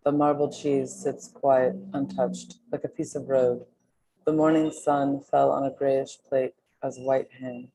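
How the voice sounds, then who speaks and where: A woman with an exceptionally low, mellow voice reads translated poetry aloud. Her tone is dark and relaxed, in the style of a literary reading. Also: a little slow, gravelly, husky, poor audio.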